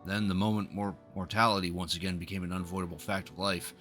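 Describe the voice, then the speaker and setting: A man's voice: deep voice, level tone, fast, fairly bright tone. A man livestreaming a game, reading its dialogue aloud with casual, slangy asides. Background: video game music.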